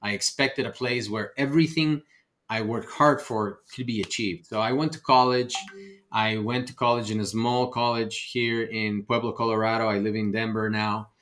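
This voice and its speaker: A man's voice: fairly low pitch, fairly even intonation, moderate pace, fairly bright tone. A middle-aged man from Ecuador, a native Spanish speaker, telling his personal story as a podcast guest. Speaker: podcast guest, real estate investor.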